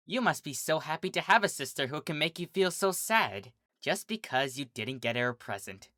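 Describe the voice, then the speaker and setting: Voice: medium pitch, expressive, normal speed, sharp tone. A fan reader voicing a bubbly female earth pony who turns sly and probing, with a sing-song, teasing delivery.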